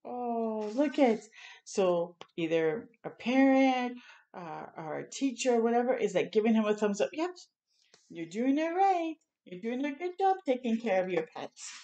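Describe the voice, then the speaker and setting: A woman's voice: on the high side, lively intonation, unhurried, warm tone. An older woman doing a children's storytime, reading a picture book aloud and adding encouraging, chatty asides. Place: small room at home, indoors.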